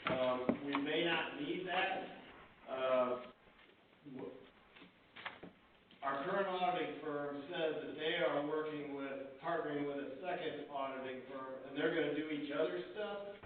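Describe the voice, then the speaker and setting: A man's voice: a little high, far from the mic. Echoey man on a noisy phone line. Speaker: town official presenting a budget to council. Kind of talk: explaining budget items on a conference call.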